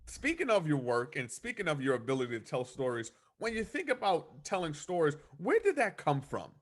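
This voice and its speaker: A man's voice: fairly high pitch, wildly swooping pitch, brisk. A man hosting a podcast interview, effusive and praising, often restarting his sentences. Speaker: podcast host. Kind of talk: conversational interview.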